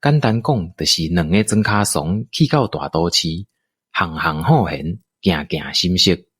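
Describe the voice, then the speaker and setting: Animated, low-voiced Taiwanese male storyteller. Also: a little slow, slightly rough, dry recording, studio quality. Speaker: narrator. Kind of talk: scripted radio drama storytelling.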